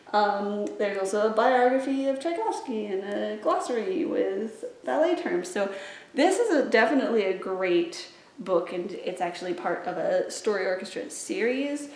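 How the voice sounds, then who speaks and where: A woman hosting a children's storytime vlog, chatting to viewers in an upbeat, enthusiastic way. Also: mid-range voice. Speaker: children's library storytime host. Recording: roomy, echoey indoor sound.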